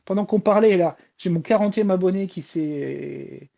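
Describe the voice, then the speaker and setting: A man's voice: sits high, normal speed, gravelly, warm tone. A man chatting casually as a podcast panelist, repeating his phrases for emphasis. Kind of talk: casual chat. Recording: dull, lo-fi voice audio.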